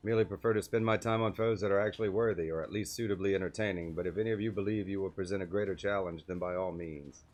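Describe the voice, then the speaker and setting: Man voicing a low, haughty villain swordsman. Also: steady tone, fast talker, few pauses, a little mellow, lightly acted. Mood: amused disdain.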